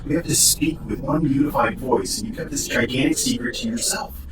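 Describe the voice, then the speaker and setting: A man's voice: medium pitch, brisk, natural voice. A man playing a stressed, sweary technician who sounds edgy and accusing, in an audio horror drama. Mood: accusing, hurt. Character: stressed, sweary signal technician with strained loyalties. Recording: roomy, distant, noisy.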